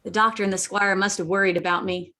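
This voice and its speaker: A woman's voice: mid-range voice, steady tone, fast talker, pushed and bright, a little hiss or hum. Woman as plucky young Jim, telling his adventure. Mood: earnest storytelling. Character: earnest, plucky boy adventurer Jim Hawkins. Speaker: narrator and lead character. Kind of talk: dramatic scripted narration.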